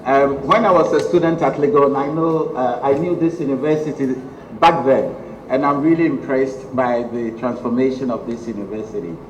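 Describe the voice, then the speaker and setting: Man, Ghanaian accent, middle-aged, guest lecturer, measured delivery, roomy hall sound. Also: mid-range voice, fairly even intonation. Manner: measured, with frequent ums. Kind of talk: public lecture. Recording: echoey hall, faint noise.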